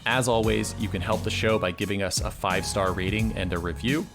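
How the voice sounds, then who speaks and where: Podcast host, male, lowish voice, measured delivery, faint music under. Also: steady tone. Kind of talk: scripted intro then casual interview.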